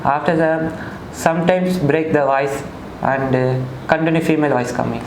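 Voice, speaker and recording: A man in his twenties, a native Tamil speaker with a Tamil Indian accent, answering haltingly in simple phrases as a patient. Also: on the high side, fairly even intonation. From Chennai, India. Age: 20s. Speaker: voice therapy patient. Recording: roomy echo, loud background noise.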